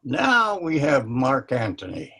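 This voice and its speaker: A man's voice: medium pitch, wildly swooping pitch, takes it slowly, bright, forceful tone, heavily acted. An amateur actor reading a soldier who follows his general in a Shakespeare play. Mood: earnest. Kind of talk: amateur Shakespeare read-aloud. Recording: video call with faint music.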